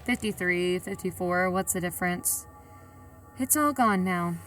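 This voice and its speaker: A woman's voice: on the low side, a little slow, fairly bright tone, far from the mic, soft background music. A woman playing a wary bank robber in an audio drama, with a colloquial, matter-of-fact way of talking. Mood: matter-of-fact, confiding. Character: Rose, wary female bank robber recounting her heist. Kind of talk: audio drama acting and first-person narration.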